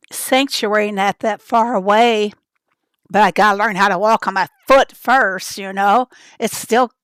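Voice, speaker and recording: A woman hosting a casual livestream, chatty and rambling as she greets viewers from the chat, her voice raspy and hoarse from a summer cold. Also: mid-range voice, a bit bright, dry recording. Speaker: livestream host.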